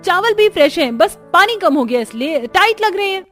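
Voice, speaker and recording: A woman's voice: extremely high pitch, animated, moderate pace, fairly bright tone, faint music behind, voice pushed up. A woman voicing a breezy, argumentative young daughter-in-law in a cartoon story. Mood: defensive.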